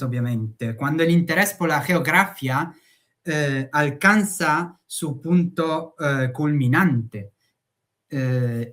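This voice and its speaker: Slow, hesitant male academic speaker. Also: fairly high pitch, fairly bright tone. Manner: slow, hesitant, frequent "eh" fillers. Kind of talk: conference talk.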